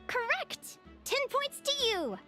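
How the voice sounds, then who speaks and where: A woman's voice: extremely high pitch, huge pitch swings, takes it slowly, sharp tone, faint music behind, full character voice. A woman playing a cheerful young female adventuring advisor in a video game, quizzing and encouraging like a teacher. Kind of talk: animated video game acting, teaching a class.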